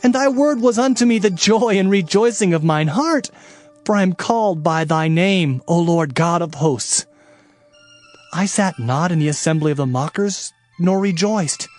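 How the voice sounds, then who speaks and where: A man's voice: high voice, expressive, normal speed, a little mellow. An actor playing an earnest, anguished male prophet, speaking solemnly and plaintively in a dramatized scripture reading. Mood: anguished pleading. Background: music.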